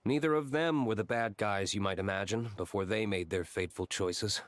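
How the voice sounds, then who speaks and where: A man plays a worldly-wise advisor in a video game. His voice is on the low side, calm and serious, weighing each point thoughtfully. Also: dry recording, natural voice. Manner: measured, thoughtful.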